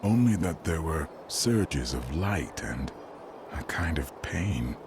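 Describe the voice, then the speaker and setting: A man voicing a warrior with no memory who speaks in halting, grave fragments, in a video game. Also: extremely low pitch, very animated, noisy background, heavily acted. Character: confused male warrior who lost his memory.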